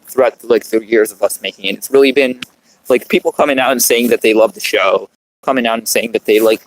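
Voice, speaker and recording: A young man co-hosting a podcast, speaking earnestly in casual chat with halting restarts, outdoors. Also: medium pitch, poor audio. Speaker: podcast co-host. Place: outdoors on a front lawn.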